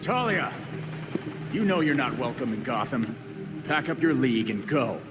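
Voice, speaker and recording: An actor playing a stern, suspicious vigilante in a video game, terse and challenging. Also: male voice, medium pitch, big pitch swings, moderate pace, natural voice. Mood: commanding. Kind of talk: video game cutscene acting. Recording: clear vehicle-like ambient noise.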